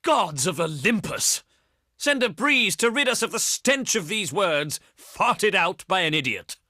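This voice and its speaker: An actor playing a haughty, pious and slyly threatening ancient Greek diplomat in a video game, speaking in pompous, oratorical phrases. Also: male voice, high voice, very animated, measured pace, pushed and bright, dry recording, studio quality, heavily acted. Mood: scornful. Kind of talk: theatrical scripted game dialogue.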